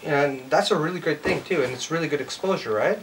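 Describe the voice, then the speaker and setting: Higher-pitched man hosting a casual interview. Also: fairly quick. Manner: informal, bantering, self-deprecating. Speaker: show host, interviewer. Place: indoor lounge with amps and instruments. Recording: distant mic, faint background noise.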